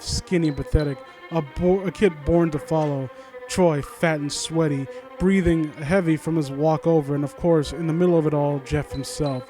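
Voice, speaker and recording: A man's voice: on the high side, warm tone. A man reading and commenting in a casual, sardonic, informal way, often stumbling over his words. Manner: sardonic, mock-supportive asides, informal, stumbling over read lines. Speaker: host of a critique series reading a story aloud and commenting. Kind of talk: casual reaction commentary mixed with story reading.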